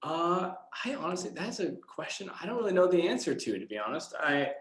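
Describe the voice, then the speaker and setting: A man's voice: high-pitched, sing-song, fairly quick, fairly bright tone. A man speaking casually as a guest answering children's questions, in a plain, hesitant, self-deprecating way. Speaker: guest speaker from an eco products company. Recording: echoey room sound.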